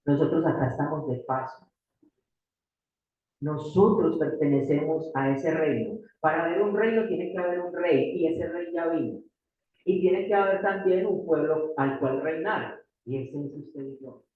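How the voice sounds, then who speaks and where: A Colombian man with a Paisa accent preaches with a fairly high voice, leaning on repetition and rhetorical questions. He sounds distant from the mic in a very echoey church room. The sound is thin and narrow, like a phone line. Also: measured pace. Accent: Colombian Paisa Spanish. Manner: emphatic, repeats key phrases, asks the audience rhetorical questions. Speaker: pastor. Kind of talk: sermon. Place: church hall.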